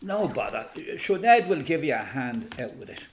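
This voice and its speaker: A man with an Irish accent playing an elderly former freedom fighter reminiscing in a radio play, plain-spoken and quietly proud. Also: medium pitch, big pitch swings, moderate pace, slight background noise. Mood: genial. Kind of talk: radio play monologue, reminiscing as recorded testimony.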